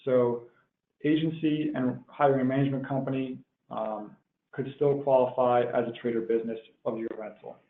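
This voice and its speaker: A man presenting a technical webinar in a measured, explanatory way, as if working through prepared points. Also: mid-range voice, fairly even intonation. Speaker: webinar presenter, tax professional. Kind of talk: explanatory tax webinar lecture. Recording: tinny phone-like line, roomy echo.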